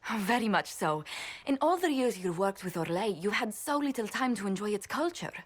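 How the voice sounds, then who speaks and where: A woman's voice: medium pitch, expressive, moderate pace, lightly acted. An actress playing a refined, earnest young woman diplomat in a video game, speaking in formal, polite phrases. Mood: shy, hopeful.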